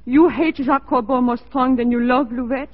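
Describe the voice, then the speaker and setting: A woman's voice: sits high, steady tone, fairly quick. An actress playing a jealous, lovestruck young frontier woman in broken English, pleading and goading in 1940s radio style. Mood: passionate, pleading. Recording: tinny, band-limited 1940s radio recording.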